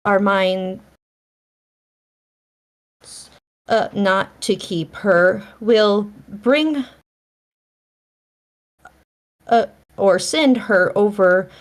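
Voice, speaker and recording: A woman reading the part of a stern, reserved middle-aged spinster from a period novel, in a halting manner. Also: mid-range voice. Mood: matter-of-fact. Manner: halting, severe wording.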